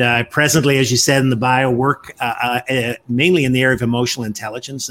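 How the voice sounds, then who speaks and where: Man in his fifties. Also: medium pitch. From Northern Ireland. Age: 50s. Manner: measured, frequent "uh" pauses. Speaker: podcast guest. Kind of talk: storytelling interview.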